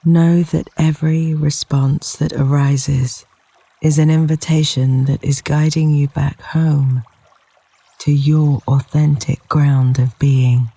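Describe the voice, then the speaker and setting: A man leading a guided yoga nidra meditation in a gentle, soothing, unhurried manner with long pauses between phrases. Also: fairly high pitch, little pitch movement, gravelly, dry recording. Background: flowing river water sounds.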